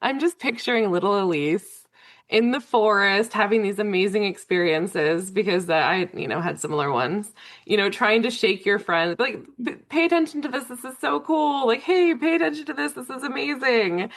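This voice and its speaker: A woman podcast host with a mid-range voice chats with lively enthusiasm. She leans into emphatic words and keeps a friendly, conversational flow. The recording is close and dry. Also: medium pitch, moderate pace, dry recording. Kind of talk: casual conversational interview.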